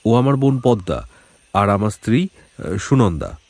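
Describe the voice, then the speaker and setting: A man playing a frightened, courteous householder in an audio detective drama, speaking with hesitant, sighing pauses. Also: fairly low pitch, sing-song, darkish tone, dry recording. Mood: polite, hesitant. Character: frightened, courteous householder, sleepless from a death threat.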